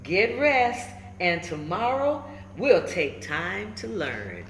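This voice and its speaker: A kindly, wise old storybook grandfather figure acted by a woman hands out encouraging advice. The delivery is slow and emphatic, with big rises and falls, in an echoey room. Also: mid-range voice, big pitch swings. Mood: firm, kindly. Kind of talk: children's storybook character reading. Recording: roomy echo with faint background noise.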